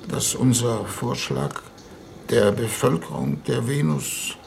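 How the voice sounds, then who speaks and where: Pompous gravelly diplomat, solemn speechifying, old 50s radio drama, bit of hiss. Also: male voice, medium pitch, big pitch swings, a little mellow, heavily acted. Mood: stern, urgent. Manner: solemn, rhetorical, halting. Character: formal, pompous statesman leading a diplomatic mission. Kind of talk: 1950s German radio play acting. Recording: noisy 1950s radio recording.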